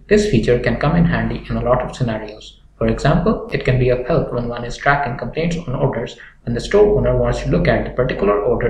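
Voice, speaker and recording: A young man presenting a software tutorial in a scripted narration style. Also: mid-range voice. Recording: roomy echo with background noise, clicks.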